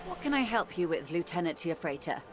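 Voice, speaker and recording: An actress voicing a composed, businesslike woman in a game, formal, polite and measured. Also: fairly low pitch, very animated, slight background noise. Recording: quiet game audio, lo-fi, music behind.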